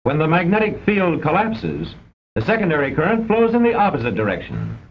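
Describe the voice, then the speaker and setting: High-pitched American man, vintage film narrator. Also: wildly swooping pitch, a little hiss or hum. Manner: measured, didactic, precise enunciation. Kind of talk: formal scripted narration of a vintage instructional film. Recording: dull, band-limited old film soundtrack.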